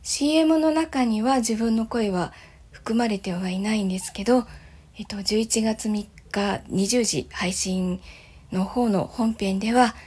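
ゆったり話す、息まじりの女性. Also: female voice, mid-range voice, unhurried, darkish tone, slightly rough. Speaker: voice actor and audio channel host. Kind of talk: casual morning chat.

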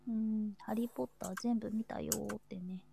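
A woman's voice: medium pitch, fairly even intonation, brisk, gravelly, mellow, a little hiss or hum. A young woman chatting casually and informally as co-host of a group livestream. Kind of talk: casual chat.

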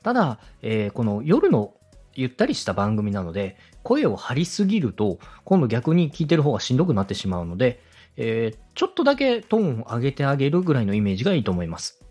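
A man's voice: medium pitch, wildly swooping pitch, quick pace, warm tone, soft background music. A man hosting a show, politely giving step-by-step advice on presenting and slipping in example phrases. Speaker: radio director and podcast host giving advice.

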